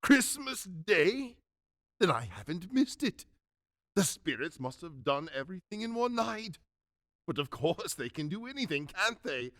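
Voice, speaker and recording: A man playing a reformed old miser in a radio drama, giddy with joy and stammering as he excitedly repeats himself. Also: sits high, measured pace, no echo, clean studio sound, exaggerated voice. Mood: giddy, overjoyed. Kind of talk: theatrical radio drama acting.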